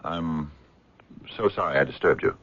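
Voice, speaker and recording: An actor playing an earnest, courteous American physicist in a radio mystery, speaking in a hesitant, wordy, stammering way. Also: male voice, a bass voice, moderate pace, fairly bright tone, faint music behind. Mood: apologetic, disappointed. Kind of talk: radio drama acting. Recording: dull, muffled radio drama recording.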